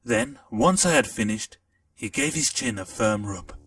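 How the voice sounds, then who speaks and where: A man narrating a short audiobook in the first person with an expressive storytelling delivery. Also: lowish voice, measured pace, gravelly, no echo. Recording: distant mic, faint music.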